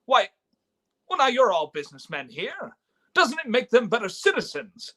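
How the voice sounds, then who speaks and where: Casting: male actor as stammering, earnest young hero of a radio play; high, bright, very animated voice. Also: normal speed, exaggerated voice. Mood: indignant, impassioned. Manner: stammering, impassioned. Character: earnest, indignant, idealistic young man defending his father. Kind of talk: live radio play reading. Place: remote online reading from home.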